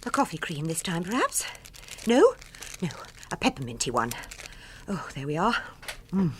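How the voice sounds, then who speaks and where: An actress with a British accent playing a wry, put-upon middle-aged suburban wife in a radio drama. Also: female voice, on the low side, sing-song, moderate pace, slight background noise. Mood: languid. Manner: wry, droll.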